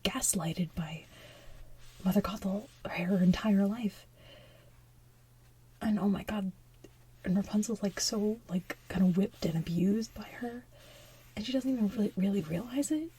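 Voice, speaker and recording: A woman's voice: mid-range voice, mellow. A woman whispering as an ASMR host, rambling casually and enthusiastically like a devoted fan. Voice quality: breathy whisper. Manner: rambling, gushing fan talk.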